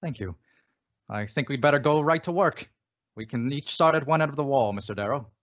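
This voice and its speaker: An actor playing a composed, resolute gentleman detective in an old-time radio thriller. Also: medium pitch, animated, fairly quick, lightly acted. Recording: dull lo-fi audio.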